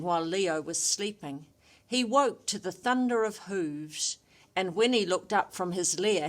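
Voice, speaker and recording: Slow-paced woman reading a children's story. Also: lowish voice. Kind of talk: expressive children's story reading in rhyming verse.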